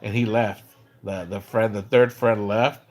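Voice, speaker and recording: A man's voice: fairly low pitch, steady tone, measured pace. Casual, rambling male livestreamer. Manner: rambling, conversational storytelling, slangy; acts out quoted dialogue when recounting. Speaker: live streamer. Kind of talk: casual livestream chat about another streamer.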